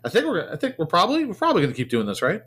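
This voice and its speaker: A man's voice: sits high, wildly swooping pitch, rapid-fire, fairly bright tone. Teasing man co-hosting a podcast. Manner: friendly, teasing, repeats words. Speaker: podcast co-host. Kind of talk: casual chat.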